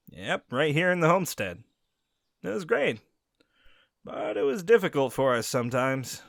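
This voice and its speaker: A man playing a gentle young werewolf, lively voice. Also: fairly high pitch, no room sound, studio quality. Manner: gentle, reassuring, speaks directly to the listener. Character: young male werewolf, friendly and caring pack beta. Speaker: audio roleplay character. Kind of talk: scripted audio roleplay addressing the listener.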